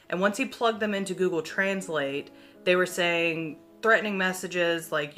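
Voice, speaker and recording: A woman's voice: lowish voice, pushed and bright. A young woman hosting a YouTube video, chatting casually to viewers in an informal, emphatic way with the odd swear word. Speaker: YouTube host. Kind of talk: casual chatty commentary to viewers on internet mysteries.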